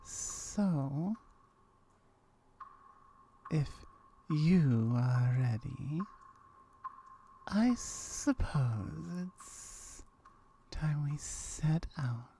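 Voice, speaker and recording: A woman voicing a lonely, wary gorgon with slyly flirtatious murmurs and drawn-out syllables, in an ASMR roleplay. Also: deep voice, animated, mellow, slight background noise, pitched below the performer's own voice, heavily acted. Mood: teasing, intrigued.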